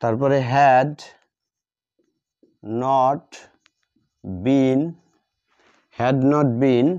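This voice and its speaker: A man with a Bengali accent teaching a grammar lesson step by step, dictating in short, halting pieces and repeating himself. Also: mid-range voice, flat delivery, a little mellow, smooth. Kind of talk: step-by-step lesson, explaining while writing. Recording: dull, muffled lo-fi audio.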